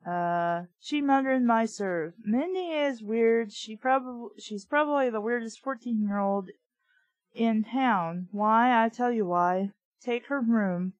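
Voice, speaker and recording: A young adult woman hosts a casual read-aloud with her own commentary. Her delivery is blunt and opinionated, at an unhurried pace. The sound is dry and close, with no room echo. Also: fairly high pitch, highs cut off. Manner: blunt, opinionated, swears freely. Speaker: host reading a children's book aloud with commentary.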